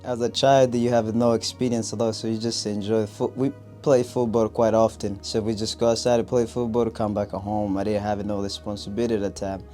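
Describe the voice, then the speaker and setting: A man in his twenties, speaking in a soft and reflective way in a documentary interview. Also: on the low side, flat delivery, a little fast, few pauses. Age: 20s. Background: music.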